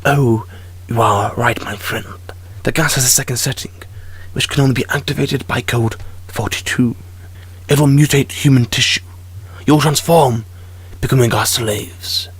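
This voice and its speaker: A man's voice: mid-range voice, fairly even intonation, normal speed, pauses often, breathy edge, dry recording, lightly acted. A man voicing a gloating, vengeful lizard-like alien captor with a whispery, menacing delivery in a fan audio drama.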